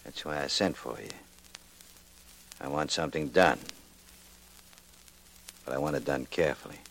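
Cocky 40s radio gangster, really deep gravelly voice with a hard edge, cool and smug. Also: male voice, moderate pace, fairly bright tone, a little hiss or hum, full character voice, low-quality recording. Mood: cool, businesslike. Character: smooth, cocky male racketeer with many enemies. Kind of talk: 1940s radio drama acting.

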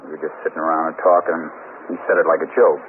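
An actor playing a sullen, defiant out-of-work robbery suspect, surly and defensive, in a 1950s radio drama. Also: male voice, extremely low pitch, little pitch movement, quick pace. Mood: resigned. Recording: scratchy, narrow-band 1950s radio recording.

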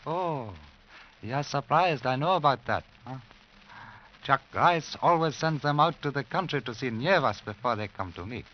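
An actor playing a shrewd, suave crime boss, deliberately polite and faintly menacing, in a vintage radio drama. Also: male voice, medium pitch, expressive, natural voice. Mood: coolly menacing. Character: suave, shrewd Chinese-Irish crime boss in a border club. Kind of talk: 1950s radio drama acting. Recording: dull, noisy vintage radio recording.

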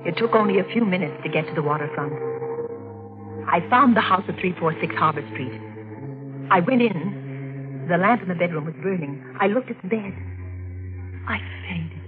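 A woman's voice: lowish voice, expressive, normal speed, pauses often, natural voice. A woman playing an anxious but determined wife in a 1940s radio suspense drama, with dramatic intensity. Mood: tense, haunted. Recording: dull vintage radio recording with music.

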